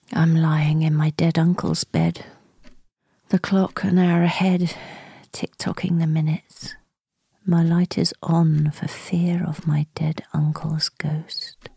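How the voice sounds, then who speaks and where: A woman's voice: deep voice, warm tone, breathy edge, no echo, heavily acted. A woman playing a devoted, tender niece in her forties in a radio play. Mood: sombre, hushed. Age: 40s. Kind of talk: radio play dialogue and monologue.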